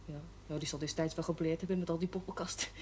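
A cheeky, eager young woman, played by an actress in an old TV drama. Her voice is on the low side and her talk is irreverent and colloquial, over a faintly noisy soundtrack. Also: steady tone, fast talker, dark tone, lightly acted. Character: cheeky, eager young working-class woman seeking baptism. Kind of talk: 1970s Dutch television drama acting.

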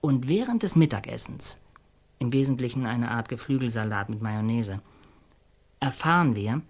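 An earnest storyteller in a radio play , performed by an actor, speaking at a middling pitch in halting, colloquial phrases. The voice searches for words and circles back on them with naive, awestruck conviction. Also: animated, close to an everyday voice. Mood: admiring.

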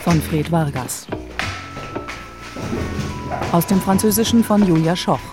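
A woman announces a radio play in a scripted read. Her voice is fairly low, with music clearly playing behind it. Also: slow pace.

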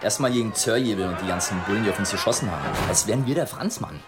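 An actor playing a rough, hearty communist working man drinking in a pub in a radio play. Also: on the low side, rapid-fire, over loud music, a loud crowd, close to an everyday voice. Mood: indignant. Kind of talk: German radio play acting.

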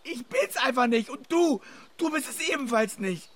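An actor playing a desperate, self-pitying middle-aged husband and failed writer in a German radio play. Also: male voice, very high voice, animated, normal speed, fairly bright tone, slight background noise. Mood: agitated, defensive. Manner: agitated, self-pitying, emphatic.

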